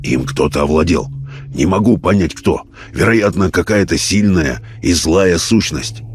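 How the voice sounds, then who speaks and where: A man playing a calm, firm seance questioner in an audiobook, measured, grave and insistent. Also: low voice, husky, heavily acted. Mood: concerned.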